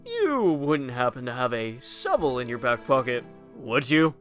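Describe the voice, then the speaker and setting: A man's voice: mid-range voice, very animated, a little slow, fairly bright tone, faint music behind. An actor playing an angry, exasperated young man with a sardonic, foul-mouthed manner in an audio horror drama.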